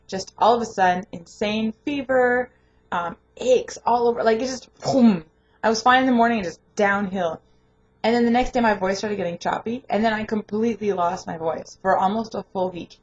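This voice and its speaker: Hoarse woman chatting in a home vlog. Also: mid-range voice, highs cut off. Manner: rambling, laughs often. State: hoarse, voice not fully recovered. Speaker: vlogger and home daycare provider. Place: her home, indoors.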